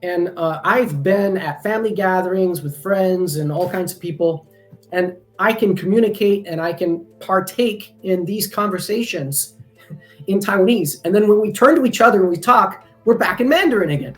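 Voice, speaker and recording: A man in a casual podcast conversation, talking at length with frequent ums and restarts. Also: high-pitched. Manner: rambling, many ums and restarts. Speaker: guest, language company founder. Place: lives in Taiwan.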